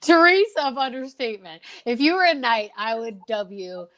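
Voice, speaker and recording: A woman's voice: a little high, sing-song, normal speed, a bit bright. A woman hosting a casual chat, effusive and teasing in how she talks. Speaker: show host.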